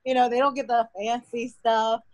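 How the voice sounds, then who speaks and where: A woman's voice: on the high side, moderate pace, dry recording. Chatty young woman, dry close sound. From United States. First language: Mandarin. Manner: relaxed, chatty storytelling with frequent "like". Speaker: podcast guest. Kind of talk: casual interview conversation.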